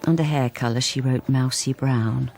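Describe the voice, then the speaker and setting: A lonely, invisible middle-aged wife who narrates her own radio drama. Her voice is low and creaky, dropping at times to a breathy near-whisper. She confides hesitantly, wistful and understated. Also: steady tone, normal speed, darkish tone. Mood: wry, subdued.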